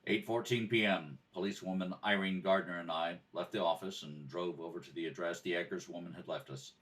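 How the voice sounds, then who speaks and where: Man playing a deep-voiced, deadpan police detective. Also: fairly quick, recorded from a distance. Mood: matter-of-fact. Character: terse, businesslike male police detective. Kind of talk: amateur radio drama read-through with clipped narration.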